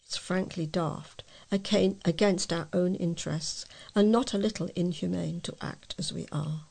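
A woman reading letters aloud as a volunteer news reader, in a measured way with the odd stumble. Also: on the low side, steady tone, gravelly, a little mellow.

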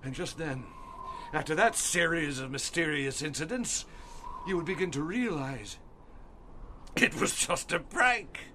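An actor playing an enraged, menacing old man in dramatic audio fiction, gravelly and theatrical, with deliberate delivery. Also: fairly high pitch, pushed and bright. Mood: bitter, menacing. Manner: theatrical, deliberate, swinging from hiss to roar.

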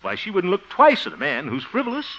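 A pompous, good-hearted sitcom man who is easily exasperated. His highish voice swings wildly as he blusters and grumbles under his breath. It sounds like a muffled 1950s radio comedy. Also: huge pitch swings, moderate pace, sharp tone, a little hiss or hum, full character voice. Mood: lecturing. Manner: blustering, muttered asides, frequent chuckles. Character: exasperated, pompous, good-hearted grown son and self-styled mentor. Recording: dull old-time radio recording.

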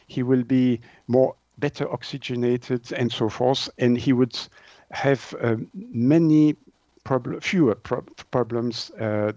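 A man's voice: medium pitch, warm tone, no echo, dull audio. A man from Switzerland with a Swiss French accent, explaining things as an interview guest in his second language, often searching for words.

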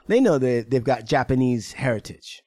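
A man's voice: medium pitch, expressive, normal speed, dark tone, no echo. A man hosting a podcast in a studio, asking questions in a pushy, profane way. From United States. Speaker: podcast host. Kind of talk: casual chat, interviewing.

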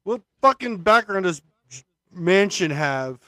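A man's voice: high-pitched, lively intonation, takes it slowly, sharp tone, no echo, studio quality. Profane, indignant male podcast co-host. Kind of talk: casual political chat.

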